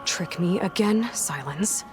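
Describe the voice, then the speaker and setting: An actress playing a wary, determined young hunter in a video game, with a breathy edge and a dry, sardonic, guarded delivery. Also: female voice, lowish voice, takes it slowly, music in the background. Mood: distrustful, firm. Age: young adult. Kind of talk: video game dramatic acting.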